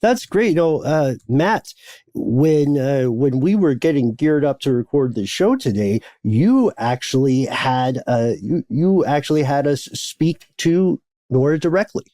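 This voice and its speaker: A man co-hosting a podcast in casual chat, with a deep voice and a habit of murmuring "mm-hmm" as he listens. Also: animated, unhurried, warm tone, no echo, clean studio sound. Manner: frequent "mm-hmm" of active listening. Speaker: podcast co-host.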